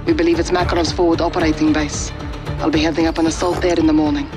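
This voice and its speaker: An actor playing a resolute, businesslike military ally giving a briefing in a video game. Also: male voice, on the high side, level tone, quick pace, lightly acted. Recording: music behind.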